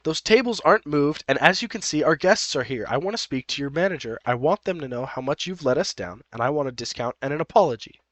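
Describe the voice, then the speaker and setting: Storytelling role: male performer as imperious, entitled event-organiser woman, raised pitch, curt and demanding. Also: animated, quick pace, few pauses, a bit bright, no room sound. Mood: indignant, demanding. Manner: imperious, snappish.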